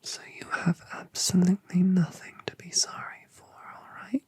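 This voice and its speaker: A woman voicing a colossal, gentle, motherly dragoness in a whispered audio drama, soothing and affectionate with genteel phrasing. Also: fairly low pitch, level tone, normal speed, slightly rough. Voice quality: soft whisper.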